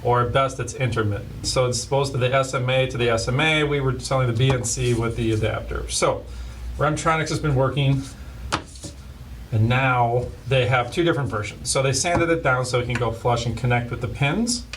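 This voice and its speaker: A man's voice: mid-range voice, fairly quick. A man hosting a casual product demo, explaining plainly with many fillers. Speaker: host of a scanner shop's product videos. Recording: voice a bit far from the mic, faint hiss.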